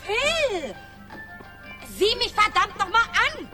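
A woman's voice: very high voice, very animated, takes it slowly, loud music behind the voice, full character voice. An actress playing a furious, shrieking jealous woman in a radio drama.

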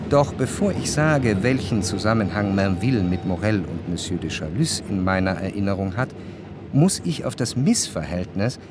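An actor playing a reflective first-person narrator, delivering measured literary prose for a radio drama. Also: male voice, low-pitched, expressive, background noise. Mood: explanatory. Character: reflective male narrator recalling his memories, literary and measured.

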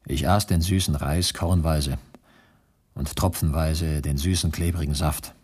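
Deep, husky camp survivor. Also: male voice, steady tone, darkish tone, full character voice. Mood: grave, intense. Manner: sober, measured, precise. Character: male concentration camp survivor recounting his ordeal, grave, exact. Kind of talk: literary radio drama monologue.

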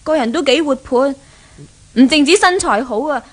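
Casting: young rich heiress, high voice, Hong Kong Cantonese accent, petulant, possessive, scheming, 1970s radio melodrama. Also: female voice, expressive, moderate pace. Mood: bossy, resentful. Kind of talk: 1970s radio drama acting. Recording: 1970s radio drama recording.

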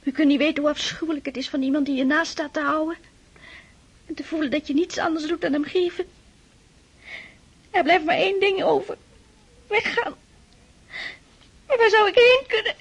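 A woman's voice: high voice, moderate pace, long pauses. An actress playing a shy, anxious young noblewoman in a radio play, speaking hesitantly and pleadingly. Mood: distraught.

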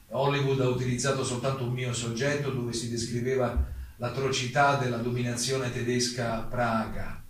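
A man playing an exiled German playwright giving a formal defence statement in a solemn, deliberate, rhetorical stage delivery. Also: medium pitch, a bit bright, natural voice. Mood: firm, measured. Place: theatre stage, recorded from afar. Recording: very echoey distant stage recording, faint noise.

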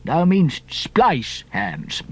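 A man voicing a gruff, blustery old sea captain in an audiobook, hearty and exclamatory. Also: a little high, huge pitch swings, drawn-out words, exaggerated voice. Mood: excited, hearty.

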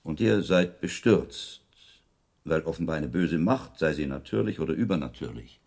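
Clever monk detective in a radio play, very low husky voice, lays out his logic slow n precise. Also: animated, a little mellow, exaggerated voice. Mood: probing, grave. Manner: deliberate, precise, logical phrasing with weighty pauses. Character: shrewd learned monk investigator, calm and methodical. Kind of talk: German radio drama acting, reasoned monologue.

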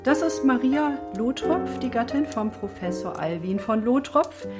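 An actress playing a formal, status-conscious society hostess in a radio drama, gushing and condescending. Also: female voice, fairly high pitch, lively intonation, unhurried, over loud music. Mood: formally gushing.

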